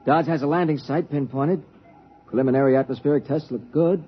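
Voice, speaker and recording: A man's voice: on the high side, fairly quick. An actor playing an eager, efficient junior male space officer giving reports in a 1950s radio drama. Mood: upbeat, reporting. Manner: crisp, reporting. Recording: dull, faintly noisy 1950s radio recording.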